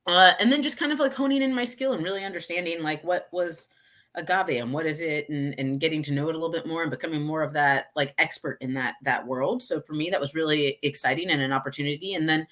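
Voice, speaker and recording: A woman from Texas with an American accent, chatting casually as an interview guest in a chatty way, quick to laugh. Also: low-pitched, fairly bright tone. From Houston, Texas, United States. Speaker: guest, tequila brand ambassador.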